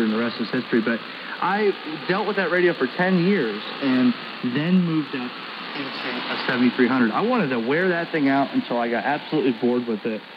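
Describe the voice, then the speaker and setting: A man chatting casually as an interview guest on a radio show, talking off the cuff. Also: mid-range voice. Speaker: guest, amateur radio video maker. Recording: noisy lo-fi shortwave radio broadcast.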